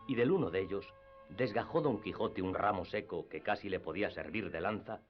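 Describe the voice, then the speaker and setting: A man's voice: low-pitched, a little fast. A man narrating a literary radio drama from a script. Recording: slightly dull radio recording. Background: music.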